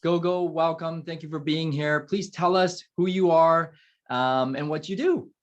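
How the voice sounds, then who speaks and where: A man hosting an interview, effusive and quick to react with "wow" and praise. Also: fairly high pitch, steady tone, lo-fi sound. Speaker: host.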